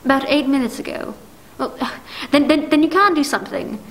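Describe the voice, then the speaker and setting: A woman acting a high-pitched, flustered, imperious invalid wife. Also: lively intonation, moderate pace, rough recording. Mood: insistent. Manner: flustered, stammering, indignant, demanding. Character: anxious, imperious, self-pitying bedridden married woman. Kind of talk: 1940s radio-play acting on stage.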